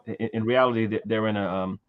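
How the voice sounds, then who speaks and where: A man in his thirties hosting a casual livestream chat, talking haltingly with many fillers and repeated words. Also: lowish voice, fairly even intonation, phone-quality sound. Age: 30s. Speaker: livestream host.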